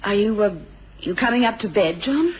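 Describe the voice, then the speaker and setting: An actress playing a hesitant, deferential wife in a radio mystery, speaking in halting, polite phrases. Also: female voice, mid-range voice, lively intonation, phone-quality sound, over music, close to an everyday voice. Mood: timid, anxious. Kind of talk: 1970s radio drama acting. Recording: thin old radio recording, faint noise.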